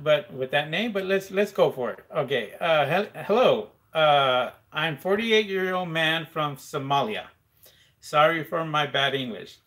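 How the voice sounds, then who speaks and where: A man's voice: on the high side, a bit bright. A man hosting a live question-and-answer stream, chatting casually with many fillers and reading viewer comments aloud.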